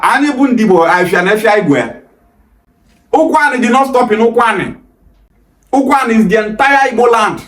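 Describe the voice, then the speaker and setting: A young Nigerian man with a Nigerian accent, talking straight to the camera with emphatic, passionate delivery and sharp rises when he pushes back. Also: high voice, reverberant. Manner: emphatic, gestures freely, rises sharply on rebuttals. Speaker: video host. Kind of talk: passionate direct-to-camera talk. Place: small indoor room against a plain wall.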